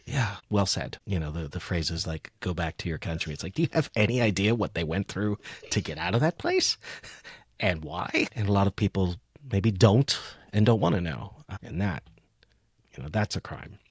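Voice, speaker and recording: Deep-voiced man hosting a podcast. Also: very animated, normal speed, breathy edge, no echo, studio quality. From United States. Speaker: podcast host. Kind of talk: conversational interview.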